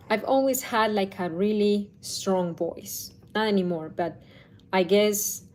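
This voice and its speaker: Woman, parenting coach, slow unhurried delivery, mid pitch, thoughtful, self-correcting. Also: mellow, a little hiss or hum. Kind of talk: casual talk to camera.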